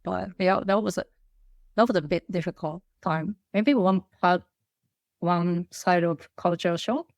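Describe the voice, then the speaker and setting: A woman's voice: lowish voice, a little slow, no room sound. A Japanese woman whose first language is Japanese, chatting as a podcast guest, hesitant and restarting her phrases with light laughs. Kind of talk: casual conversation. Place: Melbourne, Australia.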